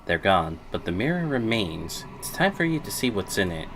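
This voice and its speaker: A man's voice: on the low side, sing-song, moderate pace, fairly bright tone, slight background noise. A man livestreaming a game playthrough, reading choices and narration aloud. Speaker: game streamer.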